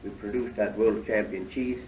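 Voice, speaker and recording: A man from Switzerland, guiding a tour through a cheese factory and explaining things at an unhurried pace with many fillers. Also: fairly low pitch, steady tone, a little mellow. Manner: unhurried, many fillers, slightly foreign phrasing. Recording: echoey factory hall, distant mic, machinery noise.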